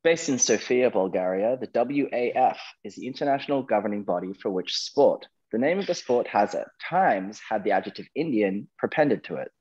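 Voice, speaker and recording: A man's voice: on the low side, expressive, moderate pace, hardly any pauses, a little mellow, slightly rough, highs cut off. A man hosting a quiz, reading questions and ruling on answers. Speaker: quizmaster, host.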